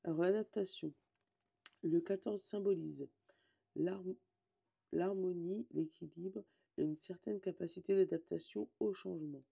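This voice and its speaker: Woman, hoarse, gravelly, dark-toned, casual oracle reading, rambling. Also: on the low side, a little slow, pauses often. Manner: rambling, self-correcting, reads card meanings aloud. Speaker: tarot and oracle card reader. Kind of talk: casual daily card reading.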